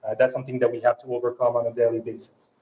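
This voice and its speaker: A man from France speaking English with a French accent, a guest at a fireside chat who talks in halting phrases with many restarts and fillers. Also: medium pitch, completely flat delivery, echoey room, lo-fi sound. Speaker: panel guest.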